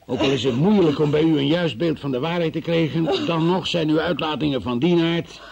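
An actor playing a relentless, methodical and sceptical male interrogator in a radio play, with a gravelly, husky voice. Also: fairly high pitch, a little fast, a little mellow. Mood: pressing, accusing. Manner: insistent, probing, methodical, sceptical. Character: relentless male interrogator questioning a young murder witness. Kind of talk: Dutch radio play acting.

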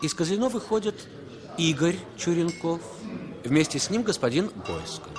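A man's voice: a little high, lively intonation, normal speed. Male radio drama narrator over music.

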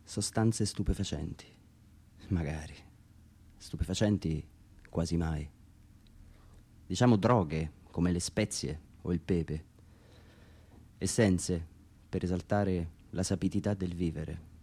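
A man performs a literary monologue in radio-theatre style. His voice sits very low and has a soft, dark tone. The delivery is dramatic and inward-looking, broken by deliberate pauses. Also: breathy edge. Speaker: writer reading own text aloud.

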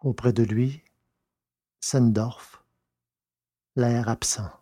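A man's voice: medium pitch, steady tone, very slow, warm tone, slightly rough, no room sound, studio quality. A man reading scripted narration for an audio drama.

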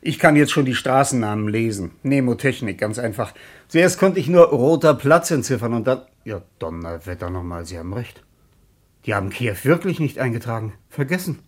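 An actor playing an eager, busily organising traveller in a German radio play. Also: male voice, medium pitch, expressive, normal speed, natural voice.

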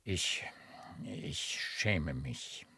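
An actor playing an old, effusively grateful baron in a classical radio drama, with a husky, emotional, courtly delivery. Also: male voice, extremely low pitch, animated, extremely slow, sharp tone, no room sound, exaggerated voice. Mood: ashamed.

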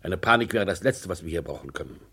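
An authoritative, distrustful company boss in a radio play. The voice is deep and rather husky, and the delivery is deliberate and commanding. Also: male voice, expressive, bright, forceful tone, heavily acted. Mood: commanding, cautious. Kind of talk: old German radio crime play.

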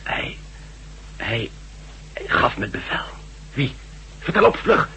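An actor playing a shaken, dazed doctor in a Dutch radio drama, halting and half-whispering as if weakened. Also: male voice, medium pitch, huge pitch swings, creaky, pushed and bright, slight background noise, exaggerated voice, low-quality recording. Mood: shaken, weak. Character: shaken male doctor, weakened and dazed after mind control.

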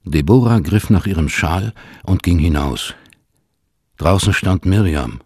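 A man with a husky voice reading scripted literary narration in a measured storytelling manner. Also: sits low, animated, a little mellow, no echo, studio quality.

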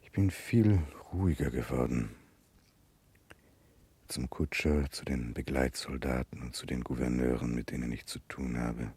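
An actor playing a grave, remorseful Russian gentleman in a German radio drama of the 1960s, speaking in a measured, earnest way. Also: very deep voice, slightly rough, no echo, heavily acted. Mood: calm, reflective.

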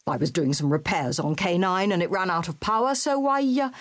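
A man's voice: high voice, fairly quick, a bit bright. A reader voicing an eccentric male alien adventurer, indignant and exasperated, in a dramatised audiobook. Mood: indignant, blustering.

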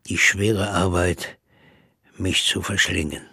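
Radio drama: a cerebral, musing narrator figure, measured and slow, slightly deep with a rough grain. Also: male voice, steady tone, bright, forceful tone, no echo, clean studio sound, exaggerated voice. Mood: uneasy, dreamlike. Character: pensive man musing on mind, matter and dreams. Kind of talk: literary radio play monologue.

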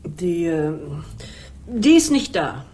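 An actress playing a nervous middle-aged aunt in a radio crime drama, dodging questions. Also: female voice, big pitch swings, slow, a little mellow. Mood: evasive. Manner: nervous, evasive, voice cracks high.

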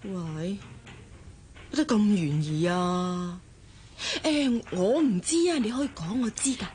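An actress playing a nosy, chatty young office colleague in a radio drama, gossipy and exclamatory, with a Hong Kong Cantonese accent. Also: female voice, medium pitch, slow, a little mellow, slight background noise, close to an everyday voice. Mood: prying.

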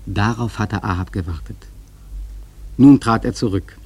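Reflective sailor-narrator: warm, dark timbre with a husky edge, literary scripted narration for radio drama. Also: male voice, lowish voice, a little slow, no echo. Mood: measured, reflective. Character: reflective seaman narrating a whaling voyage.

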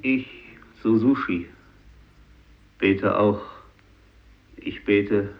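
A man acting a remorseful fisherman survivor in a 1950s radio play, solemn and halting, as if praying and warning. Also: lowish voice. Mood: remorseful, pleading. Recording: dull old radio recording.

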